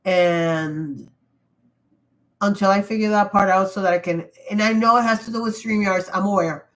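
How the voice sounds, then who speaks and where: A woman's voice: medium pitch, moderate pace, fairly bright tone. A woman hosting a casual livestream while sick and losing her voice, talking in an emphatic, rambling way with frequent restarts. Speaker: livestream host. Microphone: computer mic.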